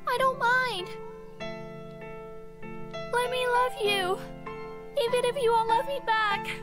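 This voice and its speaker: A man voicing a lovestruck, stubborn high school girl in a shrill, pleading, overdramatic falsetto for a visual novel game. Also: fairly even intonation, slow pace, music in the background. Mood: desperate devotion.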